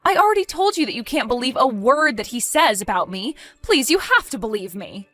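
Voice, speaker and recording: Woman acting a high-pitched, pleading cheating wife. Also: big pitch swings, a little fast, fairly bright tone, soft background music. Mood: flustered, defensive. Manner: pleading, defensive. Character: panicked, pleading cheating wife, evasive and desperate. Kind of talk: acted audio story dialogue.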